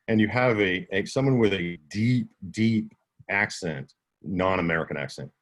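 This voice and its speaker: A man with a slight Southern twang answers questions at a writers' conference over a video call. His voice sits on the low side. He talks conversationally and often restarts his sentences. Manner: conversational, with many restarts and self-corrections. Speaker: audiobook narrator and instructor.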